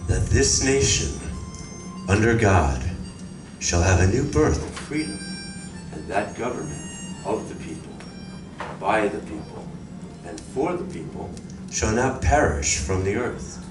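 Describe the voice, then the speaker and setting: Man playing a low-voiced, courteous alien visitor, distant. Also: sing-song, music in the background. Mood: earnest. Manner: polite, measured, formal phrasing. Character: mild, courteous, curious male visitor from another planet. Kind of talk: stage radio drama acting. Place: community room of a public library. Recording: roomy, distant, noisy live library recording.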